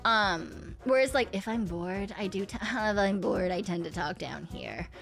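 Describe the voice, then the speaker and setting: A woman's voice: mid-range voice, animated, unhurried, vocal fry, soft background music. A young woman streamer chatting casually, rambling with lots of hums, fillers, giggles and the odd swear word. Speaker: VTuber streamer.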